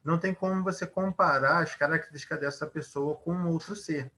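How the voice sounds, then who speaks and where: A man's voice: fairly high pitch, steady tone, brisk, bright, forceful tone. A man teaching a Portuguese grammar lesson, explaining at length, asking rhetorical questions and using many fillers.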